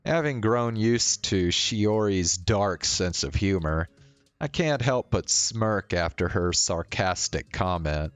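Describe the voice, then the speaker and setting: A man's voice: low-pitched, lively intonation, slow, clean tone, no room sound, soft background music. A man, a streamer reading a visual novel aloud with casual commentary.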